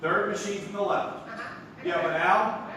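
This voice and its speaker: An actor playing an exasperated young nephew in a stage comedy, frantic, stammering and emphatic. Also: male voice, high-pitched, fairly even intonation, measured pace, pushed and bright. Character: flustered, exasperated young theater critic nephew. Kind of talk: theatrical stage comedy acting. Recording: echoey, noisy live theater from far off.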